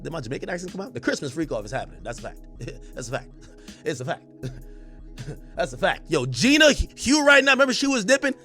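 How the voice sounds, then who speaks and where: A high-voiced man riffs loudly through a live reaction stream, cussing and mocking. He laughs at his own jokes and swings between shouting and muttering. Faint music plays underneath. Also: sing-song, a little fast. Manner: loud, profane, mocking, laughing often. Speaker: streamer and commentator.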